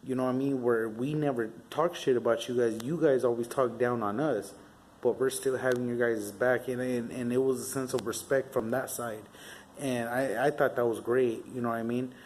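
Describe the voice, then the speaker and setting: A man's voice: medium pitch, fairly even intonation, moderate pace, a little mellow, a little hiss or hum, rough recording. A young man, telling stories in a casual, rambling vlog, swearing freely and leaning on fillers. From Southern California. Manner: rambling, profane street talk with many fillers. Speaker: vlogger. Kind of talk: casual storytelling monologue.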